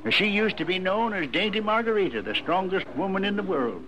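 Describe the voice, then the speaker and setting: An actor playing a hesitant, henpecked American circus husband, apologetic and rustic, in a 1950s radio western. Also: male voice, sits high, animated, fairly quick, bright, forceful tone. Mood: nervous, sheepish. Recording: dull radio recording, crowd behind.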